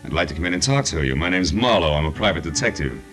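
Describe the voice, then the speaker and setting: An actor playing a hard-boiled, wry private detective in 1940s radio noir, terse, tough and dry. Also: male voice, sits low, sing-song, fast talker, over music. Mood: businesslike.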